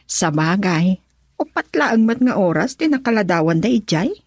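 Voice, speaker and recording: An actress playing a chatty young woman in a radio drama, with a breathy voice. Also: medium pitch, big pitch swings, normal speed, no echo, clean studio sound. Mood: worried.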